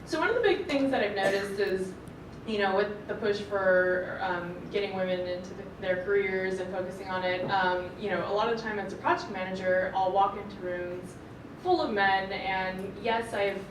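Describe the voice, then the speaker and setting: A woman's voice: medium pitch, normal speed. Hesitant woman asking from the audience, far off. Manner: hesitant, many fillers. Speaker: audience member asking a question. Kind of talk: rambling audience question. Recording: distant, roomy, noisy event audio.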